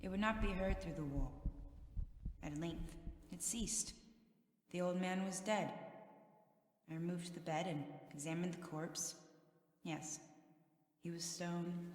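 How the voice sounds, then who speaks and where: A woman playing a mad, cunning killer in a gothic audio drama, delivering a confession in a theatrical, deliberate and insistent way, repeating phrases for emphasis. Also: lowish voice, a little hiss or hum, lightly acted. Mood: cold, subdued. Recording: echoey reverberant sound under loud music.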